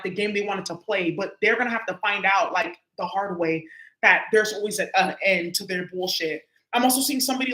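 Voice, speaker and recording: Casting a woman tarot reader, quick and sharp-toned, slangy and profane, recorded in an echoey room. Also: mid-range voice. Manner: loose, profane, heavy with filler words. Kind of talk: casual tarot reading to viewers.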